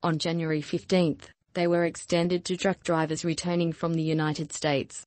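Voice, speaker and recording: A woman reading a scripted news report in an even, steady newsreader delivery as a voice-over. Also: low voice, normal speed, dry recording. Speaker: news reader.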